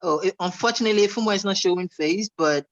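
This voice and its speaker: A man's voice: high-pitched, fast, fairly bright tone, no echo. A man co-hosting an online chat over a video call, loose and joking, often asking "you get it?". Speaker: co-host. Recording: dull dry home mic.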